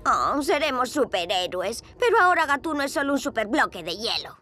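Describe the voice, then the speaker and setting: A woman voicing a confident, bossy little girl owl superhero in a children's cartoon, energetic. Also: sits high, brisk. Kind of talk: animated children's cartoon dubbing. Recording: music behind the voice.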